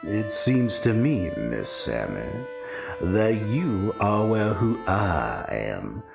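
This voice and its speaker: An actor playing a sinister old vampiric villain in a dark fantasy audio drama, syrupy, drawling and mock-polite with menace. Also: male voice, lowish voice, very animated, slow, gravelly, exaggerated voice. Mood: sly menace. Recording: echoey, music underneath. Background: music.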